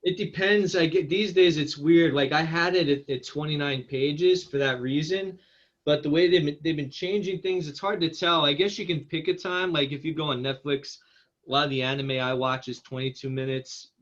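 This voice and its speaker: A man's voice: fairly high pitch, fairly even intonation, normal speed, dull audio. A man speaking as a screenwriter in a casual feedback discussion, in a hesitant, self-deprecating manner.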